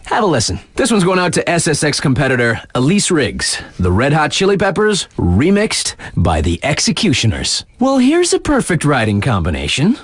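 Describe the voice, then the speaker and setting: A man's voice: on the high side, wildly swooping pitch, moderate pace, slightly rough, no echo, clean studio sound, exaggerated voice. An actor playing a laid-back, cocky young male radio DJ in a snowboarding video game, introducing songs in breezy slang with wry asides. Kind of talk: casual radio DJ patter, song introductions. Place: dry studio.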